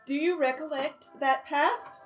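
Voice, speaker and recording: A woman playing a solemn, commanding, probing spirit in a radio drama, speaking with deliberate gravity. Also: high-pitched, highs cut off, faint music behind. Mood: probing, grave. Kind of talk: family radio drama acting.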